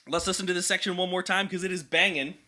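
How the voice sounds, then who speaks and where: A young man with a higher speaking voice, chatting energetically as a vocal coach and explaining vocal anatomy in technical terms. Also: steady tone, fast talker, sharp tone. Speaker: vocal coach reacting to music. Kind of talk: casual reaction and explanation.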